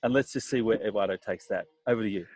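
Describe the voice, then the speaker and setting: A man's voice: fairly low pitch, fast talker, a little mellow, no room sound. A man earnestly introducing a leadership webinar, speaking with frequent fillers. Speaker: business partner introducing the webinar.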